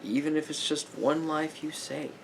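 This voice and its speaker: A man playing a sympathetic therapist in an ASMR roleplay, giving gentle advice in a halting, repetitive, hedging way. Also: a little high, little pitch movement, creaky, slight background noise. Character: calm, sympathetic male therapist counselling a client.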